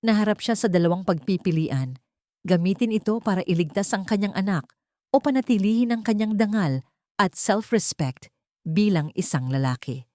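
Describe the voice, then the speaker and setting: A woman's voice: fairly low pitch, warm tone, no room sound, clean studio sound. A woman reading a scripted dramatic story as its narrator.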